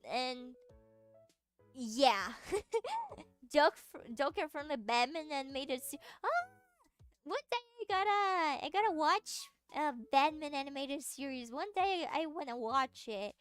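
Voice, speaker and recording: Brazilian girl streaming, high voice, slow rambly chat, giggles a lot, faint music behind. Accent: Brazilian Portuguese. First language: Brazilian Portuguese. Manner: giggly, rambling, many fillers and restarts. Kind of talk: casual chat with viewers.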